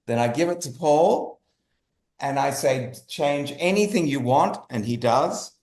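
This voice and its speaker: A man from Australia, an interview guest explaining things casually and emphatically, restating himself to be clear. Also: fairly high pitch, animated, a little slow, darkish tone. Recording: roomy echo on the voice.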